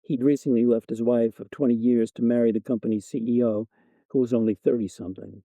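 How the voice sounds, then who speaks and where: Dark-voiced man reading a story, dry sound. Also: mid-range voice, fairly even intonation, a little fast, dry recording, poor audio. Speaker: narrator. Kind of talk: scripted short story narration, first person.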